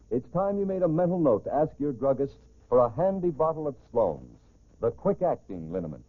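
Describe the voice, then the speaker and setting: An American man announcing a 1940s radio show in an emphatic, formal, scripted style. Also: mid-range voice. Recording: tinny, band-limited old radio recording.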